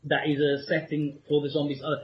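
A man's voice: a little high, monotone, a little fast, lo-fi sound, slight background noise. A British man co-hosting a casual podcast chat, talking enthusiastically with frequent ums. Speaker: co-host.

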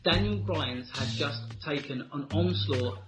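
A man's voice: fairly high pitch, unhurried, over loud music, poor audio. Crude-joking British man in his thirties. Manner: crude banter, excitable storytelling. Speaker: podcast co-host. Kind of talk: casual comedic podcast chat.

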